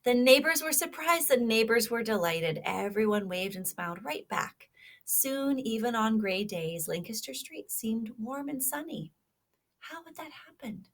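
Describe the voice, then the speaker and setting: A woman's voice: a little high, animated, measured pace, fairly bright tone. A woman reading a children's story aloud expressively, stopping to prompt her young listeners with questions. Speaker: storybook reader.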